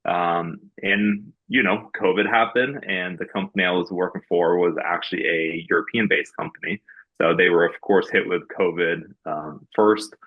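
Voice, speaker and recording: Deep-voiced raised man, muffled audio. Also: steady tone, moderate pace. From Norway. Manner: many fillers and restarts, earnest storytelling. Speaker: guest. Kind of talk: podcast interview.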